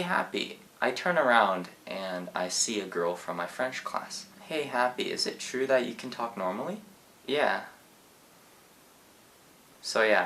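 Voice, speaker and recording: Laid-back teenage boy, low voice. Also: a bit bright. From Japan. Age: teens. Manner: laid-back, rambling with fillers. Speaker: vlogger. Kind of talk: casual storytelling.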